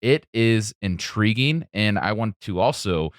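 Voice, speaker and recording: A man co-hosting a podcast, speaking casually with earnest, deliberate phrasing. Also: lowish voice, expressive, no echo, studio quality. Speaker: podcast co-host.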